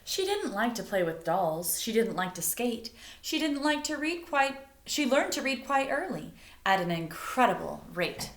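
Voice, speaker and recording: A woman reading a picture book aloud like a teacher, expressively, pausing to explain things and put questions to young listeners. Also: fairly high pitch, big pitch swings. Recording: roomy, echoing indoor sound.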